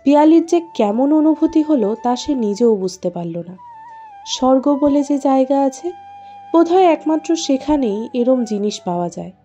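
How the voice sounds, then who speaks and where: A woman's voice: high voice, lively intonation, normal speed, mellow, dull audio, soft background music. A woman reading a scripted story as its narrator.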